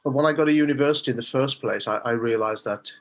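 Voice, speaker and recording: A man's voice: medium pitch, quick pace. A middle-aged man with a Welsh accent, a guest mind coach speaking thoughtfully with frequent hesitations. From Wales. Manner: hesitant, thoughtful, with many fillers. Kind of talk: conversational interview.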